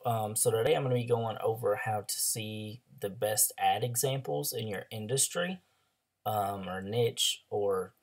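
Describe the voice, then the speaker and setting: A hoarse young man with a loose, chatty manner, full of ums and likes, walking through a screen-share tutorial as an online ads coach. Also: lowish voice. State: hoarse after a throat illness.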